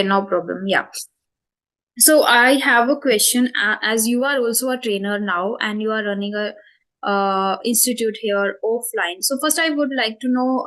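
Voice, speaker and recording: A woman's voice: fairly high pitch, fairly bright tone. A woman in her twenties with an Indian English accent, answering interview questions about her channel in a thoughtful way, with many fillers and self-corrections. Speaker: spoken English channel host.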